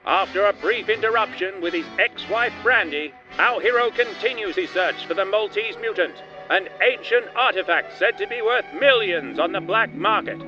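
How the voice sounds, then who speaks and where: A man's voice: sits high, moderate pace, pushed and bright, highs cut off, soft background music. A man playing a melodramatic, portentous narrator of an old-time noir radio serial. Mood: dramatic. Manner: theatrical, portentous.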